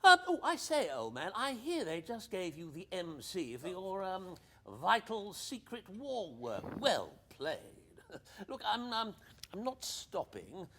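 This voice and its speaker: A man's voice: high voice, very animated, slow, fairly bright tone, breathy edge, full character voice. An actor playing a breezy, cheeky upper-class English ex-army captain in a radio play, with hearty old-boy banter. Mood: jovial, cheeky.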